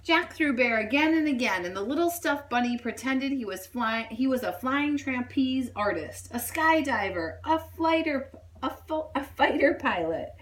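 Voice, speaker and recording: A woman reading a children's story aloud in an expressive style. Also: high voice, normal speed. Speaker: storybook reader.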